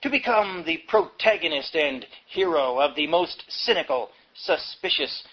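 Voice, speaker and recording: A man's voice: a little high, lively intonation, measured pace, highs cut off. A man reading a book aloud in an emphatic, sardonic manner, as an audiobook narrator given to wry delivery. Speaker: audiobook reader.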